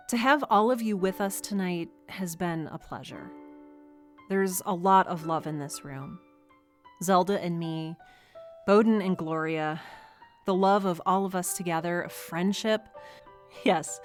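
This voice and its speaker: A woman playing a gracious, gently teasing hostess. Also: fairly low pitch, natural voice. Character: gracious, sly wife hosting a dinner party. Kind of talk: comedic audio drama acting.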